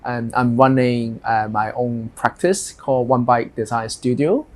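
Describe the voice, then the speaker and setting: A man in his thirties from Hong Kong with a Hong Kong English accent, an architect answering interview questions in a halting, thinking-aloud way. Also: mid-range voice.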